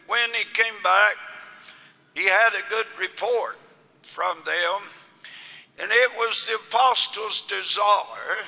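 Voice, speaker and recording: A hoarse man preaches in a slow, drawn-out way, his gravelly voice pitched high and bright. A long reverb trails behind every phrase, as in a large hall. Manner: slow, drawn-out delivery rising into shouts. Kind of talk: sermon.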